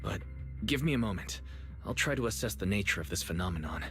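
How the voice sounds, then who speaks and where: Actor as low-voiced, calm young guide. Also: male voice, faint music behind, close to an everyday voice. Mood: thoughtful. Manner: measured, serious, reassuring. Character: calm, earnest, analytical young male proxy guide. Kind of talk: video game voice acting.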